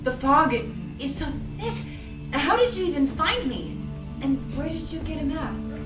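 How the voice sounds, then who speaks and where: A woman's voice: high voice, lively intonation, a little slow, far from the mic. A woman playing a frightened but defiant young rebel on the run, in a dramatic script reading. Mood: suspicious, cold. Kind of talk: dramatic script table read. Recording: echoey room, off mic, music added.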